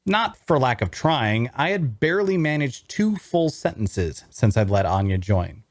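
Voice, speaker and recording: Man, voice actor, lively intonation, scripted first-person narration, dry acoustic. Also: mid-range voice, measured pace, dry recording.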